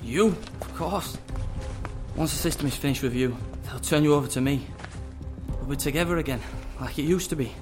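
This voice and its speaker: An actor playing a smug traitor agent in an audio drama, taunting, condescending and possessive. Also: male voice, a little high, brisk, music in the background, lightly acted. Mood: smug contempt.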